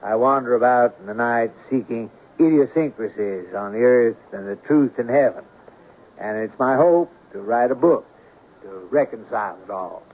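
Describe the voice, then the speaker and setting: A man's voice: mid-range voice, normal speed, close to an everyday voice. Grandiloquent man of letters played by a male actor, genial and formal, middle-register voice, vintage muffled radio drama. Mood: pompous, amused. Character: pompous, courteous, eccentric gentleman writer. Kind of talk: 1970s radio drama acting. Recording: dull old radio broadcast.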